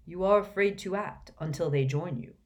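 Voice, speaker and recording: A woman voicing a gentle, calm old male wizard headmaster who speaks softly and mildly. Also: fairly low pitch, natural voice. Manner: soft, mild, unhurried.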